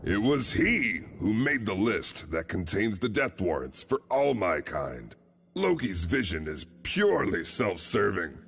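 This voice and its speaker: A man's voice: on the low side, sing-song, a little slow, gravelly, a bit bright, heavily acted. A man playing a stern, weary old bearded god in a video game, speaking with solemn grandeur.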